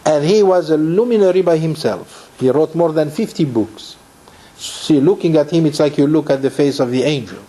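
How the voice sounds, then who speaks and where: Middle-aged rabbi from Morocco, warm and fairly high voice, lecturing with restarts and rhetorical questions. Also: male voice, normal speed, poor audio. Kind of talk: torah lecture.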